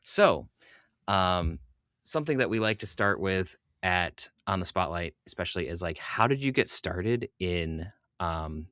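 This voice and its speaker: A man's voice: low voice, a bit bright, no echo, studio quality. A man hosting a podcast, chatting casually as he interviews a guest.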